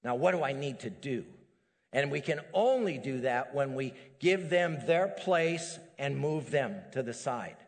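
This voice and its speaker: A middle-aged man preaching a sermon as a pastor, animated and building to emphatic peaks. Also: mid-range voice, a little slow. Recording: long hall reverb.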